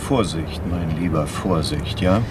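A male actor playing a guarded, sceptical senior public prosecutor in a radio crime drama, measured, formal and dryly challenging. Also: extremely low pitch, background noise. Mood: wary. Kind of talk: naturalistic German radio crime drama acting.

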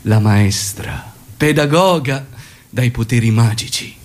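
An actor playing a charismatic, affectionate revolutionary commander with an expressive, gallant way of talking in a stage drama. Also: male voice, mid-range voice, takes it slowly, close to an everyday voice. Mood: tender.

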